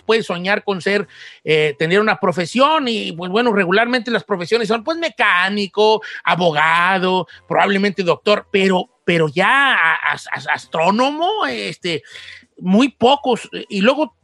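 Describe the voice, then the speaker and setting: A man performs a jolly old Mexican ranchero radio host with a Mexican Spanish accent. The voice sits high and sharp, and the sound is close and dry. He talks in a folksy way, joking and stumbling over his words. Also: expressive, unhurried, no room sound, exaggerated voice. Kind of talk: comedic radio chat.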